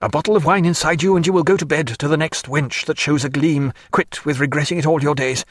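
A man's voice: a little high, fairly even intonation, quick pace, no echo, studio quality, pitched above the performer's own voice, close to an everyday voice. A man voicing a proud, feverish ship's surgeon who speaks tenderly and wryly.

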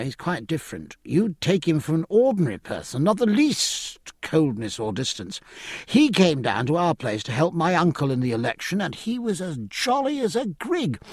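Eager young naval lad, performed by a male narrator: cheerful, boastful, gushing, very lively intonation, for a storybook. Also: fairly high pitch, husky, dry recording, clean studio sound, pitched above the performer's own voice, full character voice. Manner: gushing, breezy, old-fashioned naval slang.

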